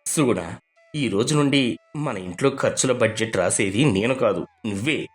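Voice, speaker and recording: A man playing an earnest, emotional husband and father in an animated moral story. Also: on the low side, moderate pace, faint music behind, close to an everyday voice.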